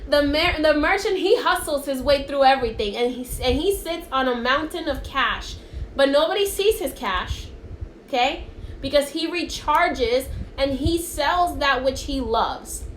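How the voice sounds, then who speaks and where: A woman with a high, bright-sounding voice whose first language is Spanish leads a live spiritual card reading, speaking to viewers in a chatty, encouraging way. She keeps checking in with them as she goes. The phone recording sounds roomy and echoey, with a faint hiss underneath. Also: expressive, measured pace.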